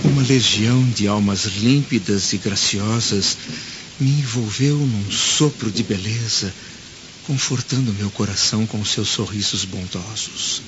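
A man's voice: lowish voice, a little slow, close to an everyday voice. An actor playing a troubled Roman senator in a radio play, solemn and anguished as he recounts things theatrically. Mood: wistful, solemn. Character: troubled Roman senator recounting a haunting vision of past life. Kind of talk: dramatic radio play acting. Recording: dull lo-fi audio with loud storm noise.

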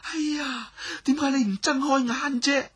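A man voicing a shy young noblewoman who gives soft, bossy orders. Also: very high-pitched, measured pace, voice pushed up, full character voice. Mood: embarrassed.

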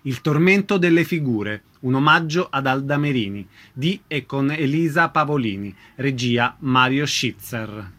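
A man's voice: medium pitch, lively intonation, measured pace, sharp tone. A man reading a scripted programme announcement as a radio announcer.

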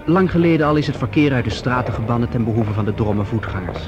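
A man narrating a radio play as its announcer, in a measured, portentous scripted style. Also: medium pitch, animated. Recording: dull old radio recording under music. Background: music and dramatic sound effects.

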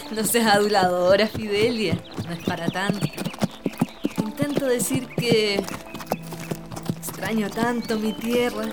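A woman playing a young wealthy lady in a radio drama, tender and affectionate, with expressive, sighing delivery. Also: mid-range voice, slow, pauses often, natural voice. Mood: teasing, coy. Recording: loud music behind radio drama dialogue.

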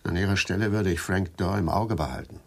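Low-voiced sober chief prosecutor. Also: male voice, fairly quick, gravelly. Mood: serious, cautioning. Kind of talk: hard-boiled radio drama acting.

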